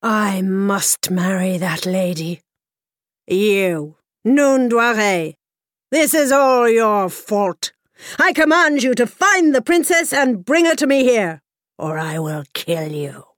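A woman voicing a proud, demanding king who speaks slowly and loudly. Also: medium pitch, expressive, no echo, studio quality. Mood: imperious. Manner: slow, loud, imperious.

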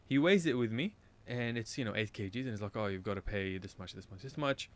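A man's voice: fairly low pitch, lively intonation, fast talker. A man from Iraq, a radio show guest, telling a casual anecdote in a rambling way, often correcting himself and laughing at his own story.

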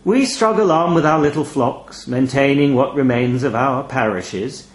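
A man's voice: medium pitch, a little slow, echoey room, close to an everyday voice. A man voicing an ancient talking toll raven in a story, pompous, weary and wistful like a retiring bishop reminiscing. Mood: wistful, lofty. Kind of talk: audio short story character dialogue.

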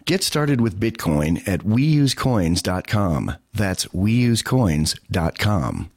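Urgent male ad read, deep-ish voice, dry sound. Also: sing-song, slow, darkish tone, husky, no echo. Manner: urgent, insistent pitch. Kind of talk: radio ad read.

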